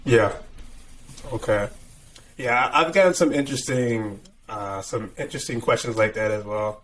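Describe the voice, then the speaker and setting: Male interview host, average pitch, easygoing and encouraging, faint background noise. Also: moderate pace, fairly bright tone. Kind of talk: casual interview questions.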